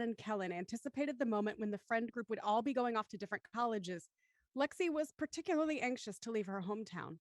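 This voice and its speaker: A woman with a slightly high voice works as a show host, delivering scripted narration and introductions. The recording is dry, with very little room around the voice. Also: fairly bright tone, dry recording. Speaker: host of a live-streamed audio drama show. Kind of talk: scripted recap and introductions, presenting.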